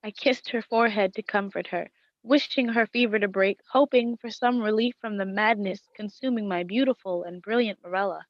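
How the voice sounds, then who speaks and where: An actress playing a devoted, worried wife in a gothic radio play, speaking in formal, literary phrasing. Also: female voice, medium pitch, normal speed, dry recording, muffled recording, lightly acted. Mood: mournful. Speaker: actress in an audio drama. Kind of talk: dramatic first-person radio play narration and dialogue.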